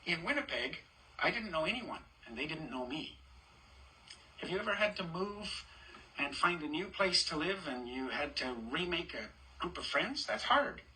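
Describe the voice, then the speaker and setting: Bright-voiced older man reading to kids. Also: on the high side, expressive, normal speed, slight background noise, low-quality recording. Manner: warm, chatty, stops to ask children questions and point at pictures. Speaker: storybook reader. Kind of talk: reading a picture book aloud to children.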